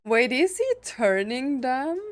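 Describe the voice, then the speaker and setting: Unhurried woman reacting to a game. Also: sits high, very animated, background noise. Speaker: gaming streamer. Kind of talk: casual reaction commentary on a game.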